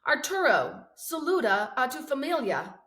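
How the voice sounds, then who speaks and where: Woman reader as a caring, brisk mother. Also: high-pitched, big pitch swings, fairly bright tone, pitched above the performer's own voice. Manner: affectionate, brisk, mixes in Spanish words. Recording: roomy, echoing sound.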